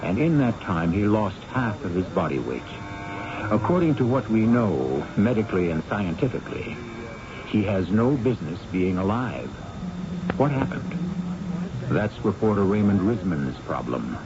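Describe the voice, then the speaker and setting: A man's voice: lowish voice, very animated, normal speed, background noise. A man hosting and narrating a vintage radio drama in a theatrical, wry, suspenseful style. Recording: muffled vintage radio recording.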